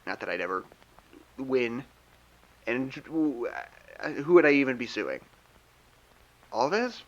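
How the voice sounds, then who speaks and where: A man acting in an audio drama as an exhausted, anxious confined man who rambles haltingly and stammers over his words. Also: mid-range voice, very animated, lo-fi sound. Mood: weary, dejected. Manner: halting, stammering, repeating himself.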